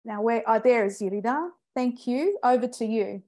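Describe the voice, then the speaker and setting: Middle-aged woman hosting, slightly high voice. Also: normal speed, mellow. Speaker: host of a poetry open mic. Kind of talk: introducing speakers, reading biographies. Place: indoor study with bookshelves. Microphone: video call with wired earphones.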